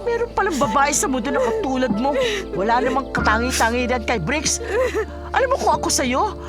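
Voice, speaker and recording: A high-pitched middle-aged mother acted by a woman in a radio drama. She is blunt, protective and quick to nag, sounding exasperated, with music playing clearly behind her. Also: big pitch swings. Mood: exasperated scolding. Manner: exasperated, nagging, colloquial. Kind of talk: Filipino radio drama acting. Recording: music bed under the dialogue.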